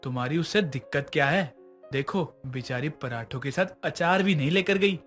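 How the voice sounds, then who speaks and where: A man's voice: on the high side, moderate pace, a bit bright, faint music behind, lightly acted. Man acting scolding middle-aged family father. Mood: annoyed, scolding. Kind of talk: dramatized moral story acting.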